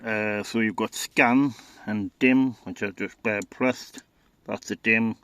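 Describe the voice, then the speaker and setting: Man, lowish voice, informal equipment walkthrough, casual, hesitant, matter-of-fact. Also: steady tone, slow, a bit bright, clean tone. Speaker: radio enthusiast presenting equipment. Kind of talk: informal product demonstration.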